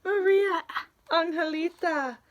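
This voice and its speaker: A woman voicing an excited, loving grandmother in a storybook, calling out loudly to her family. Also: extremely high pitch, slow, muffled recording, slight background noise, voice pushed up. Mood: joyful, calling out. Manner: loud, exclamatory calling.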